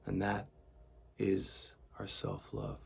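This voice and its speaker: A man leading a guided meditation in a gentle, soothing, deliberate way, with a gravelly, husky voice. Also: fairly low pitch, completely flat delivery, slight background noise.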